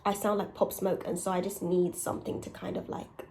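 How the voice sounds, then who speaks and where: A young woman with a raspy voice, talking candidly and conversationally in a casual solo confessional, with emphatic points. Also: lowish voice, flat delivery, fairly quick, a little mellow. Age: young adult. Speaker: podcast host and content creator.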